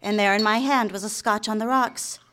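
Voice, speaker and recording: Actress as demure young secretary, quietly obsessed. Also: female voice, medium pitch, steady tone, normal speed, a little hiss or hum, close to an everyday voice. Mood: dutiful, wistful. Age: young adult. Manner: polite, confessional, period-styled diction. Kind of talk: live radio drama with first-person narration.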